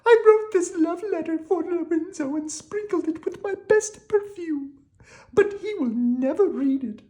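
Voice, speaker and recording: A woman's voice: unhurried, dark tone. A woman doing a wailing lovesick princess. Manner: high, wailing and melodramatic. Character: weepy young princess, lovesick and dramatic.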